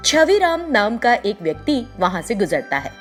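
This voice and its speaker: Animated woman storyteller over music. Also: a little high, moderate pace. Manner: expressive storytelling. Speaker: narrator. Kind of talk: scripted children's story narration.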